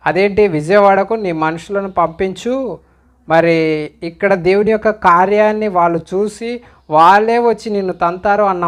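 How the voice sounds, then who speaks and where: Admonishing man giving commentary. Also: sits high. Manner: admonishing, questioning, repeatedly urging listeners to reflect. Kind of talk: direct-to-viewer commentary.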